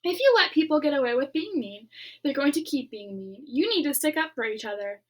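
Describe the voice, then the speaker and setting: A firm, caring schoolteacher giving his students a steady, earnest talking-to, read by a woman in her own fairly high voice. The delivery stays plain and measured, lecturing rather than scolding. It suits a storybook classroom scene.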